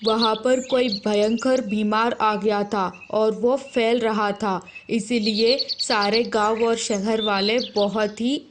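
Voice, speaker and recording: Woman as an earnest, slow-talking wolf messenger. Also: on the high side, slight background noise. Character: male wolf messenger bringing grave warning to king. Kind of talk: animated children's story character.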